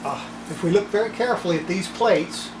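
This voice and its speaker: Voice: mid-range voice, brisk, roomy sound, noisy background. An older person narrating hands-on repair work in a casual, folksy way, often muttering to themselves in a small workshop. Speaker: radio restorer presenting own repair. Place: small cluttered indoor electronics workshop.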